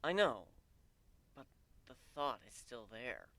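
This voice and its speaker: A man playing an earnest, devoted male pegasus guard in a story reading, gentle and hesitant in manner. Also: sing-song, slow, dry recording, heavily acted. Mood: anxious confession. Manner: hesitant, low-key, tender.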